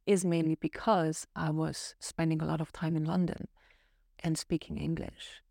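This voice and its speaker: A woman's voice: sits low, little pitch movement, moderate pace, gravelly, mellow, no echo, studio quality. A woman from Austria whose first language is German, speaking English on a podcast and telling her own story in a reflective, earnest way. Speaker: podcast co-host. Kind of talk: casual podcast conversation.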